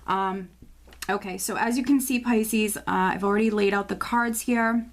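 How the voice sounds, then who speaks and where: A woman reading tarot cards for her viewers, talking in a casual, chatty way with many fillers and restarts, her voice slightly hoarse as she is losing it. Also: medium pitch, fairly even intonation, moderate pace. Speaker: tarot reader. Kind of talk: casual tarot forecast video.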